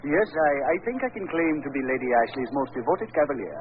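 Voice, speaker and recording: Courtly older Frenchman, slightly high lively voice, thin tinny vintage radio. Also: fast talker. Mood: gallant, courtly. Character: gallant, devoted older French gentleman, a music shop owner. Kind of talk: 1940s radio drama acting. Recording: noisy, tinny old 1940s radio recording.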